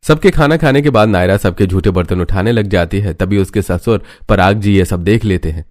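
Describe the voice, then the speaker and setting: A man with a low voice narrates a family cartoon story. The delivery is scripted storytelling. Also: fairly quick, a little mellow, dry recording, studio quality.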